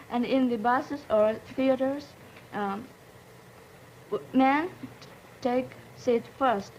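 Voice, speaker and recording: A teenage girl whose first language is Japanese speaks carefully and searches for words. Her voice is slightly high, with faint noise in the background. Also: takes it slowly, a little mellow, dull audio. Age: late teens. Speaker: student panelist.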